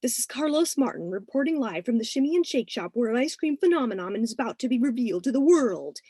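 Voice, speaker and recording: A woman voicing an excitable, playful fifteen-year-old boy who talks in teasing slang, in a story reading. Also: on the high side, fast talker, husky, no room sound, clean studio sound, voice pushed up. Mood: mock announcing. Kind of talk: audiobook-style character reading.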